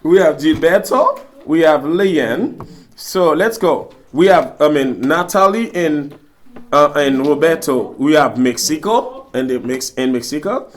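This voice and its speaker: A man with a fairly high voice and a Haitian Creole accent teaches a language class. A native Creole speaker, he works interactively, repeating short phrases and putting questions to the students. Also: expressive, measured pace.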